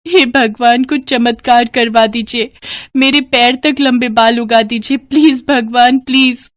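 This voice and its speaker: Woman voicing a meek, pleading, high-pitched young woman. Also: fairly even intonation, a little slow, no room sound, close to an everyday voice. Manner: plaintive, pleading. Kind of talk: cartoon moral story voicing.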